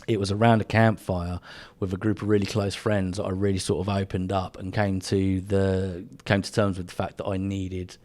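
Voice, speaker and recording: English guy, low-ish voice, soft and rambly, podcast chat by the fire. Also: little pitch movement, moderate pace, hardly any pauses. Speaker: podcast co-host. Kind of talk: casual chat. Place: indoors by a fire.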